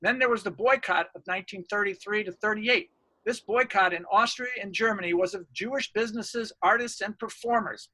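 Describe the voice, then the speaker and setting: A man's voice: high-pitched, fairly quick, pushed and bright, dry recording, poor audio. A man reading out a scripted academic research presentation.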